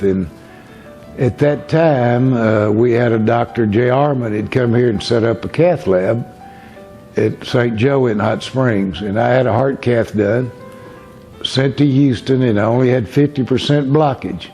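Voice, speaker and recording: A man in his seventies telling his own story to camera in a plain-spoken, unhurried way. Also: mid-range voice, a little mellow, faint music behind. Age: 70s. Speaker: interviewee.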